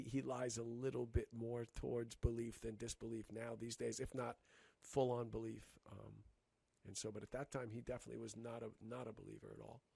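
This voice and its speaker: A man co-hosting a casual podcast chat, talking in halting bursts with many restarts and fillers. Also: mid-range voice, gravelly, mellow, slightly rough, no room sound. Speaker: podcast co-host.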